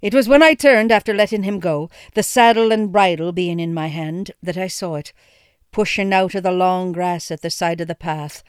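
A man's voice: high-pitched, moderate pace, clean tone, dry recording, studio quality, lightly acted. A reader voicing a frightened middle-aged farmer, a father who speaks in a grave, fearful rustic brogue.

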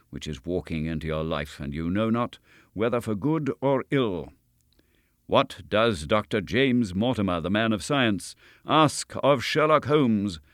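Male reader doing a self-assured detective, precise, declamatory, wide-ranging pitch, dry sound. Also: no echo. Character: brisk, confident male detective, sharp and lofty. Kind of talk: audiobook character reading.

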